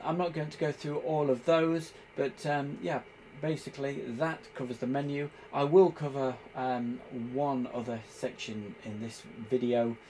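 A man giving an informal hands-on tutorial, explaining step by step in a halting, hesitant way. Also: fairly high pitch, darkish tone, slight background noise, poor audio. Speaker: presenter demonstrating radio equipment.

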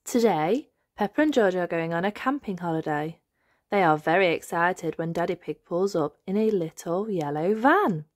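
Animated woman reading a children's story. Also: fairly low pitch, moderate pace, dry recording, studio quality. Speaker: host and storybook reader. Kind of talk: read-aloud children's story narration.

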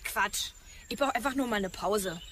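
A woman's voice: mid-range voice, moderate pace, a bit bright, close to an everyday voice. An actress playing a tired, casual woman confiding in a friend in a naturalistic audio drama. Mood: weary, casual. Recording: clear outdoor nature noise behind.

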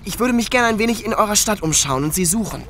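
An actor playing a worried, determined teenage musician in a children's audio drama, talking in casual youthful slang. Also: male voice, high-pitched, animated, fast, a bit bright.

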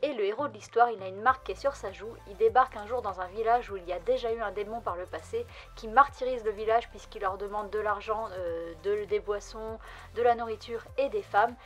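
A woman's voice: mid-range voice, a little fast, fairly bright tone, soft background music. A young woman hosting a casual manga vlog from a room lined with bookshelves, talking in an upbeat, chatty way with frequent fillers. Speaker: manga vlogger.

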